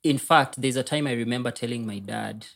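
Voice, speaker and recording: A man with a Kenyan accent and a husky voice, talking candidly as an interview guest, emphatic and often repeating his phrases. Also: mid-range voice, brisk, fairly bright tone. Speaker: podcast guest. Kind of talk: candid personal storytelling interview.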